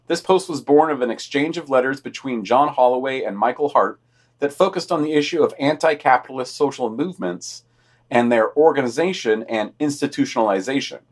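A man's voice: mid-range voice, lively intonation, fairly quick, slightly rough. Man reading news with dry asides. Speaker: podcast host reading weekly news.

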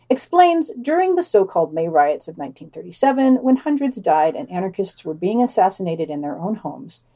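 A woman's voice: lowish voice, warm tone. A woman reading a scripted podcast news digest, adding wry, sardonic commentary in a dry manner.

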